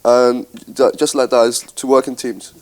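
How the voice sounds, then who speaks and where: A man's voice: mid-range voice, steady tone, normal speed, darkish tone, low-quality recording. An eighteen-year-old man from Spain, a first-year student, who searches for words and restarts his phrases. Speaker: student panelist.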